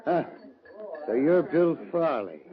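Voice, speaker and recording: An actor playing a domineering, greedy cattle baron in a radio western, with a folksy, threatening American Western drawl. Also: male voice, a little high, animated, takes it slowly, crowd noise. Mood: smug. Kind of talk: old-time radio western acting. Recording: dull vintage radio recording.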